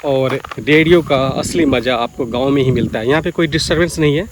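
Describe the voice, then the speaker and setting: A man's voice: mid-range voice, fairly quick, background noise. A man giving a casual, explanatory vlog, speaking directly to viewers outdoors in a village. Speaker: vlogger. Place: outdoors in a village by paddy fields.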